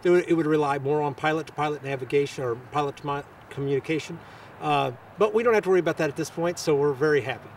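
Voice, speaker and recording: A man answering press interview questions as a local official, with halting, hesitant phrasing. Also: fairly high pitch, far from the mic, a little hiss or hum.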